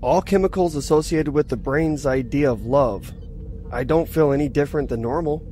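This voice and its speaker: A man's voice: a little high, normal speed, dark tone, slight background noise, natural voice. A man playing an earnest, formal starship lieutenant in a science fiction audio drama, speaking in a matter-of-fact way. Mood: formal, measured. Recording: music behind the dialogue.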